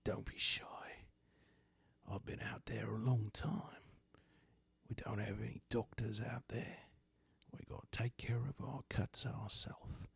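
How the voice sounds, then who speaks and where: A man's voice: lowish voice, steady tone, measured pace, no echo, voice pushed up, heavily acted. Drunken old sea captain for tabletop horror, played by a man: whispery, intimate, coaxing, predatory. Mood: coaxing, hungry. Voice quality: breathy whisper. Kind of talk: tabletop roleplay character voice.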